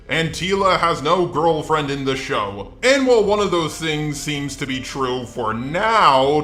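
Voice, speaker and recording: A man's voice: fairly high pitch, sharp tone, roomy sound. A man's synthetic robot voice delivering scripted, opinionated video commentary in a sarcastic, sweary manner. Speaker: video essay host.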